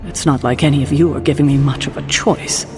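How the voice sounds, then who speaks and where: A woman's voice: sits low, steady tone, fast, natural voice. An actress playing a stern, worried female team leader in a dramatic video game cutscene, firm and disapproving. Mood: resigned, frustrated. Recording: long reverb, music behind.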